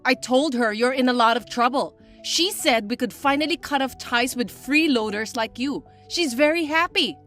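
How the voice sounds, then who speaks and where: A woman voicing a bratty, spiteful young stepsister who talks in a shrill, mocking, bossy way. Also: normal speed, few pauses, fairly bright tone, faint music behind. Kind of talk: voiced text-message drama.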